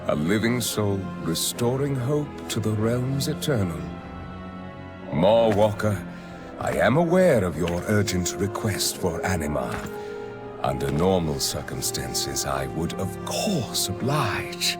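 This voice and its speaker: A grandiose vampire sovereign from a fantasy game, low in pitch and wildly swooping in melody. He is pompous, silky and manipulative, weighing each phrase slowly over clear orchestral music. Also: very animated, heavily acted. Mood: grandly courteous. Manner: pompous, silky, measured, theatrical. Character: grandiose, manipulative aristocratic vampire master of a gothic realm. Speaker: ruler addressing subjects. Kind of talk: theatrical video game villain acting. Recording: dramatic orchestral music behind.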